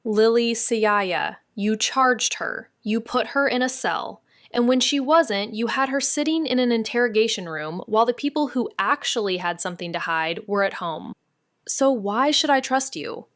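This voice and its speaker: A woman playing a wry, wary young amateur detective in a noir podcast drama, with a deadpan, sardonic way of talking. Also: fairly high pitch, moderate pace, no room sound, studio quality. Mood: accusing. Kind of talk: first-person noir podcast drama narration and dialogue.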